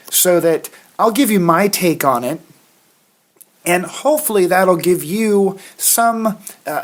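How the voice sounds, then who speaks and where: A middle-aged man from Maine hosting a solo podcast, his voice raspy from a cold, talking in a folksy, conversational way with plenty of filler. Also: on the high side. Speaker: podcast host, financial planner. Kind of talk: casual solo podcast talk.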